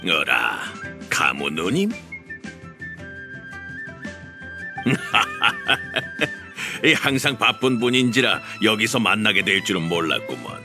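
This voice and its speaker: A man's voice: on the low side, huge pitch swings, slow pace, over music, full character voice. A man voicing a jovial, humble old official in a video game, with folksy, old-fashioned speech. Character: jovial, humble old male official posing as nagging uncle. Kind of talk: Korean video game voice acting.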